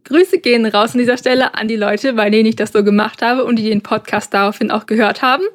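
A woman's voice: on the high side, steady tone, quick pace. A young woman co-hosting a podcast, speaking earnestly and carefully about her own experiences. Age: young adult. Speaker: podcast co-host. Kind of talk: casual podcast conversation.

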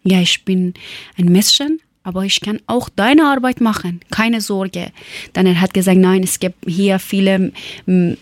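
A woman from Iran gives a personal spoken account in a Persian accent, as a non-native speaker. Her delivery is slow and halting, with repeated words and searches for the right term. Her pitch is average for a woman, and the sound is dry, with little room on it. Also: no room sound. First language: not German. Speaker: former teacher telling her life story.

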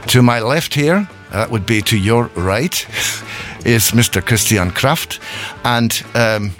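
A man's voice: mid-range voice, lively intonation, slow pace, fairly bright tone. A man from Scotland hosting a live radio show in a hot studio, chatting loosely with frequent chuckles and restarts, with faint music. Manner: rambling, chuckling, self-correcting. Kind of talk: casual live radio chat.

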